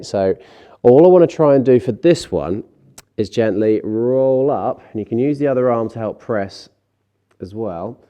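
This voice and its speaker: Low, mellow male fitness coach with a blocked nose; calm, steady stretch cues, a dry joke now and then. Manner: calm coaching with light self-mocking asides. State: congested from a cold, a bit nasal. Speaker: fitness instructor. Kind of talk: guided stretching class.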